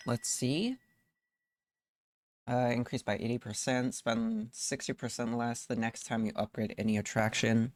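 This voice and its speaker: A man's voice: mid-range voice, no echo. A man doing casual gameplay commentary as a streamer, thinking aloud and muttering to himself in short fragments. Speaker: gaming streamer. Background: background music.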